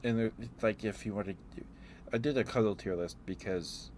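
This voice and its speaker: A man's voice: lowish voice, fairly quick, darkish tone, a little hiss or hum. A man doing a casual ASMR ramble as a livestreamer, playfully musing aloud and letting thoughts trail off.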